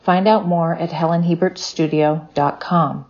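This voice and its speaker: Woman, podcast host, from texas, fairly low voice, reads intro then chats easily. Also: steady tone, a little slow, a little mellow, highs cut off. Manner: reads intro evenly, then chatty and laughing. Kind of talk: interview podcast with scripted intro.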